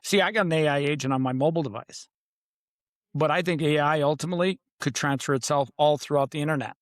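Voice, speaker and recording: Emphatic man, slightly high-pitched, dry sound. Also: fast talker, no echo. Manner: emphatic, punchy short declarations with dramatic repetition. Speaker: podcast guest, author. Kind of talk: conversational interview.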